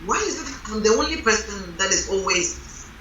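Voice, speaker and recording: Loud, strong-voiced woman in a roomy hall. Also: medium pitch, animated, normal speed, slight background noise. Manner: emphatic, storytelling. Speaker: reverend leading a panel on marriage. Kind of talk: panel discussion. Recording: roomy hall from a distant mic.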